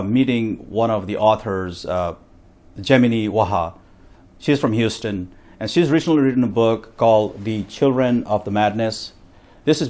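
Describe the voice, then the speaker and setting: A man's voice: on the low side, darkish tone. A man speaking as a talk show host in a conversational interview.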